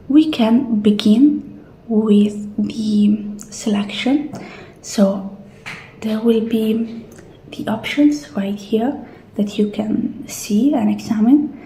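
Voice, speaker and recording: Female ASMR roleplayer, soft and mellow, slipping into whispers, gently guiding, in a slightly echoey room. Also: a little high. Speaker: ASMR roleplay performer as a clinic assistant. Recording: roomy echo, faint background noise.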